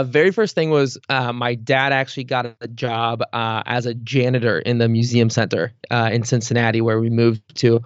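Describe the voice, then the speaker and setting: A man's voice: medium pitch, clean tone, dry recording, muffled recording. Chatty man with a filler-heavy way of talking. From Bulgaria. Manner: loose and chatty, many "like" and "you know" fillers. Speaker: guest. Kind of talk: casual interview chat.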